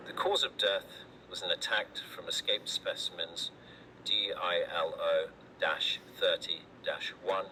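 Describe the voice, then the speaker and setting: A man acting as a low-voiced, detached autopsy doctor. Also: pushed and bright, exaggerated voice. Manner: formal, detached, deliberate. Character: clinical male doctor dictating a grim autopsy report. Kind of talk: scripted analog horror narration, recorded report. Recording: noisy analog horror tape.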